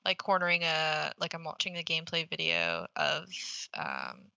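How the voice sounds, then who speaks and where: A woman's voice: low voice, wildly swooping pitch, moderate pace, vocal fry, pushed and bright, no echo, dull audio. A young adult woman co-hosting a podcast, chatting informally and enthusiastically about games, with casual swearing. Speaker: podcast co-host. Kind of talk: casual gaming chat.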